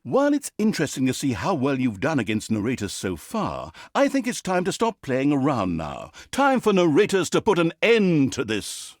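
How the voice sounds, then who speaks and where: An actor playing a smug, petty narrator of a comic fantasy video game, pompous and sardonic, breaking the fourth wall in hammy storytelling. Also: male voice, fairly high pitch, no room sound, clean studio sound. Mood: gleeful, sly, then impatient, exasperated.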